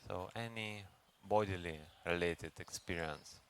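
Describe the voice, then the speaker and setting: A man leads a guided meditation as a teacher, speaking gently and unhurriedly with long pauses. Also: low-pitched. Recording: noisy recording with ambient background sound.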